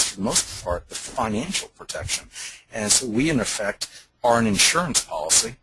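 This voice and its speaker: A man's voice: lowish voice, sharp tone. A man speaking as a company founder on a conference call, explaining his business at length like a salesman. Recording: noisy conference call line with clicks.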